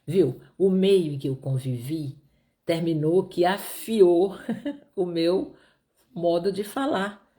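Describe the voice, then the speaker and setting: Low mellow woman with a Pará accent. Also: takes it slowly. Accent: Pará, Brazil. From Brazilian Northeast (Piauí). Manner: conversational, repeats points, asks listeners "né?". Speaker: Bible teacher. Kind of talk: Bible study teaching.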